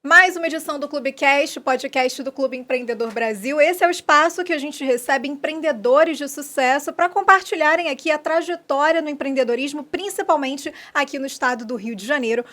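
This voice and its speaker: A woman's voice: high voice, a little fast, few pauses, fairly bright tone. A woman hosting an interview podcast, with upbeat, polished introductions. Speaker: podcast host.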